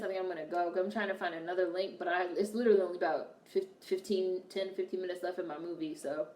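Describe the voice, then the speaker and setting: A woman's voice: on the low side, darkish tone. A young woman hosting a live watch-along stream, talking bluntly and candidly to her chat. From United States. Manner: blunt, candid and profane, reacting to chat comments. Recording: roomy sound, voice distant from the mic.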